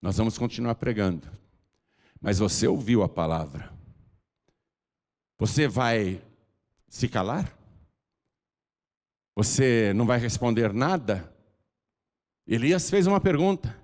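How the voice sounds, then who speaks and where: A man, preaching an altar call as pastor, moving between low confiding talk and loud, drawn-out exhortation. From Brazil. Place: large church hall. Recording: long hall reverb.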